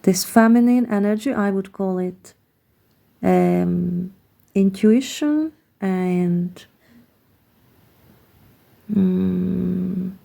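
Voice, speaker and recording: A woman with a Hungarian accent, a native Hungarian speaker, gives a slow, reflective tarot card reading, pausing often and searching for words. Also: fairly low pitch, vocal fry, warm tone. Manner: hesitant, searching for words with many pauses.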